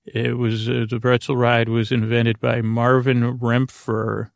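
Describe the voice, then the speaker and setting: Creaky-voiced man rambling haltingly. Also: mid-range voice, completely flat delivery, no room sound, studio quality. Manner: halting, hesitant, digressive, with frequent false starts and repetitions. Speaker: podcast host. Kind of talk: rambling, meandering bedtime monologue.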